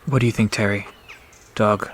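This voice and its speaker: An actor playing a calm, gentle, peacemaking young leader in an audio drama. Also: mid-range voice, normal speed, a little hiss or hum, natural voice. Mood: puzzled.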